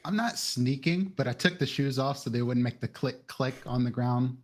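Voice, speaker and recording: A man with a husky voice, roleplaying casually as a player in a tabletop game, with a wry, dramatic, sweary manner. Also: medium pitch, moderate pace. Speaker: tabletop role-playing player. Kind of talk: casual in-character roleplay and narration.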